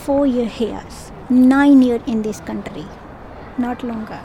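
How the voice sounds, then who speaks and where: High-voiced Pakistani woman, unhurried. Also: dark tone. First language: Punjabi. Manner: halting, simple non-native English phrasing. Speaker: corner shop keeper. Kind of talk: casual conversational interview. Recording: noisy ambience with vehicle sounds.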